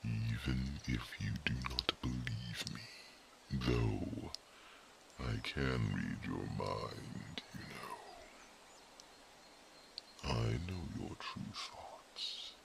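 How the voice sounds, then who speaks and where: A man voicing a whispering, gravelly mind-reading mage. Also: rumbling low, background noise, heavily acted. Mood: tender. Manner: soft, slow, formal whispering with no contractions. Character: wise, devoted male mage who reads minds. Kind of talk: whispered ASMR roleplay.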